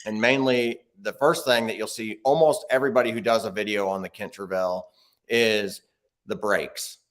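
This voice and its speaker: Male guest, low voice, easygoing and chatty, remote call audio. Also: steady tone, moderate pace. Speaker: guest, bike video creator. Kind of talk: casual remote interview. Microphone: video call.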